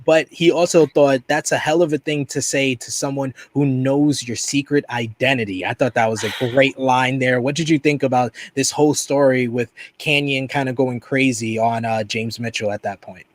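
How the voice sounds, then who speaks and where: A man with a New York accent co-hosting a review podcast, recapping in a loose, rambling way full of fillers. His voice sits at an ordinary middle pitch. It is recorded close and dry, with no room sound. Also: steady tone, moderate pace, few pauses, dry recording. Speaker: podcast co-host. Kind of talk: casual review chat.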